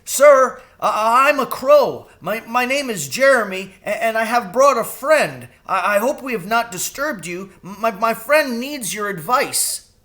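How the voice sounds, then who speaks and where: A man's voice: very high voice, lively intonation, a little slow, sharp tone, voice pushed up, full character voice. A man voicing a nervous, eager male crow in a storybook, polite and hesitant, with a stammer. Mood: nervous, deferential.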